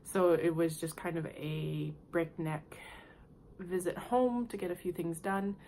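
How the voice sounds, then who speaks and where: A woman hosting a knitting podcast, sick and nasal, chatting in a rambling, friendly way and clearing her throat now and then. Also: fairly low pitch, steady tone, a little slow, a little mellow, a little hiss or hum. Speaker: podcast host. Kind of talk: casual knitting podcast chat.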